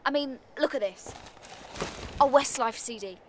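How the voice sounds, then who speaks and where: High, sharp-voiced sardonic British woman. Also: measured pace, slight background noise. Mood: defiant. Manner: sarcastic, brusque. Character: sardonic, defiant woman neighbour purging her belongings. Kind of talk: audio comedy play acting.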